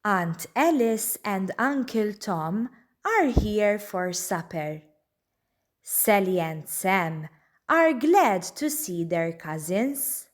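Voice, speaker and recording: Very slow, lively woman storyteller. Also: medium pitch. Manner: deliberate, expressive storytelling for young children. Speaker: children's book reader. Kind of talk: read-aloud storybook narration.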